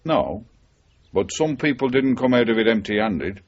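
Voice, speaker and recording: A man's voice: mid-range voice, expressive, normal speed, lightly acted. An actor playing a wary, cynical male former policeman, guarded and wry, in a 1980s radio drama. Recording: dull, muffled radio broadcast recording.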